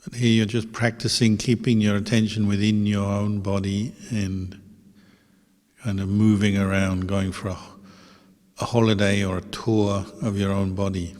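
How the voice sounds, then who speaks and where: A man who is a meditation teacher leads a guided meditation in gentle, unhurried instructions with pauses. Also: on the low side, level tone. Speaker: Buddhist monk, meditation teacher. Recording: long hall reverb.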